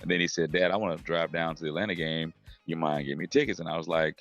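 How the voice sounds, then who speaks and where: A middle-aged man co-hosting a sports podcast, his voice hoarse and raspy after losing it at a game. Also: a bass voice, steady tone, quick pace, fairly bright tone, dull audio, faint music behind. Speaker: podcast co-host. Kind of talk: casual sports chat.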